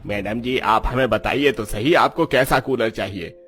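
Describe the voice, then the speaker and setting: A man voicing a polite shop salesman pushing his goods in an animated story. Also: medium pitch, moderate pace, slight background noise, lightly acted. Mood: matter-of-fact. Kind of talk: animated Hindi story acting.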